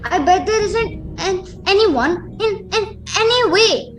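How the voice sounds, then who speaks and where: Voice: very high-pitched, animated, takes it slowly, fairly bright tone, soft background music, exaggerated voice. A performer playing a nervous, imaginative young boy in an amateur radio play, protesting hesitantly. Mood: doubtful, protesting.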